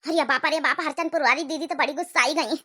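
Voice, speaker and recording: A woman's voice: high-pitched, fast talker, pushed and bright. A woman voicing a bossy, fussing older village mother-in-law in a comic cartoon, with an Awadhi accent. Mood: excited. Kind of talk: exaggerated cartoon comedy dialogue.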